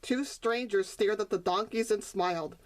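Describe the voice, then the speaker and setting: A woman reading a children's story aloud as its author, in expressive scripted narration. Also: medium pitch, normal speed.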